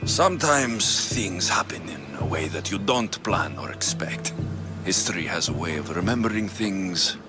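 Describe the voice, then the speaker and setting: An actor playing a cool, quietly defiant young Greek mercenary in a video game, terse and probing in his delivery. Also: low voice, animated, moderate pace. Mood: guarded, cryptic. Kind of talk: dramatic video game cutscene acting. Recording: game soundtrack music behind the voice.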